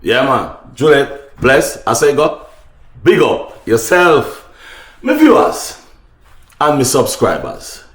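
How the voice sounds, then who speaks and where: A man's voice: medium pitch, sing-song, slow pace, a bit bright, echoey room. A man with a Jamaican accent hosting casual opinion talk for his viewers, speaking emphatically and repeating phrases. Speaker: online talk show host.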